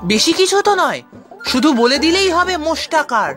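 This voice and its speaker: Cartoon village lad played by a woman: eager, earnest, high-pitched with lively intonation. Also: moderate pace, over music. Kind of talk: animated cartoon dialogue.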